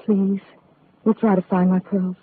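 A woman's voice: medium pitch, flat delivery, measured pace, breathy edge. An actress playing a wistful, pleading married woman clinging to lost love in a 1940s radio drama. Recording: tinny, noisy vintage radio recording.

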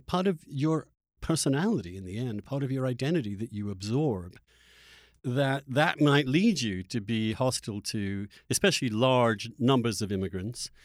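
A man from England talks as a podcast host in a dry, close acoustic. His voice is medium in pitch, and he speaks reflectively and haltingly, doubling back on words as he thinks aloud. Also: no echo. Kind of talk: conversational political discussion.

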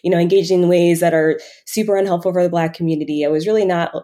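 A woman in casual, reflective conversation, candid and often halting as she corrects herself. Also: on the low side, fairly even intonation, dark tone.

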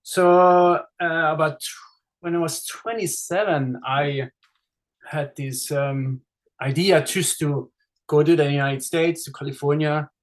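A man's voice: on the high side, fairly even intonation, slow pace. A middle-aged man from Switzerland with a Swiss accent, chatting casually as a podcast guest, friendly, with lots of fillers and restarts. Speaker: race director guest. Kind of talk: casual interview chat.

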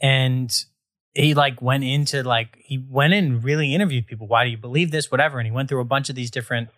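A man's voice: mid-range voice, fairly even intonation, fast talker, a bit bright, no echo, studio quality. A man in his twenties hosting a casual podcast chat, joking and rambling with plenty of fillers. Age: 20s. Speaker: podcast host. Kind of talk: casual conversational interview.

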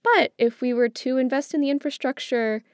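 A woman's voice: sits high, normal speed, no echo. Young woman, dry close sound. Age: young adult. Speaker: podcast panelist. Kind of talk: casual thoughtful discussion.

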